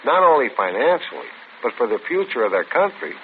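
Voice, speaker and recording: A man's voice: medium pitch, sing-song, a little fast. An actor playing an earnest young husband in a 1940s radio skit, eagerly arguing his point. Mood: persuading. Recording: tinny old radio broadcast with hiss.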